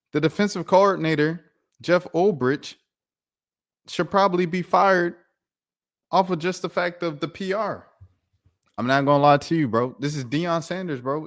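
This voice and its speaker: A man's voice: mid-range voice, no room sound, studio quality. An American man giving a casual, opinionated sports rant in a slangy, incredulous, emphatic way, repeating himself for effect. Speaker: sports commentator, show host.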